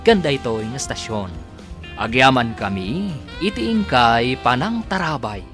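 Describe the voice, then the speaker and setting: Male narrator, radio drama announcer, dramatic rise and fall, deliberate, theatrical, clear music bed. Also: mid-range voice, animated.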